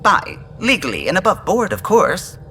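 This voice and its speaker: A man's voice: high-pitched, wildly swooping pitch, normal speed, bright, forceful tone, faint music behind, full character voice. A man playing a proud, fussy starship engineer in a video game, precise and keen on technical detail. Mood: proud, slightly cagey. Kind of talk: video game dialogue acting.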